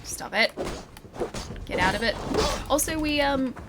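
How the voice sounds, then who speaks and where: A woman streamer chatting and laughing with her viewers as she plays a game, her voice a little croaky. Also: high voice, animated, unhurried, heavy background noise. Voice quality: voice a bit croaky early in stream. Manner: chatty, giggly, talks to chat while playing. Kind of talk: live gameplay commentary. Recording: game soundtrack playing behind. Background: game music.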